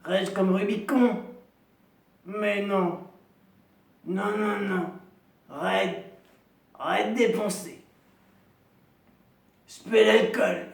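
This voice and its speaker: A gravelly, raised-pitch woman in her fifties, a chain-smoker and heavy drinker who clings to her dignity, as a man puts her on. She drags through her comic monologue slowly, rambling and repeating herself. The sound is roomy and echoey, caught from a distance. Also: fairly even intonation, full character voice. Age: 50s. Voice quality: gravelly, deep voice. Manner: slow, rambling, repetitive, self-pitying. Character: gravelly 55-year-old woman, chain-smoking hard drinker, proud and lonely.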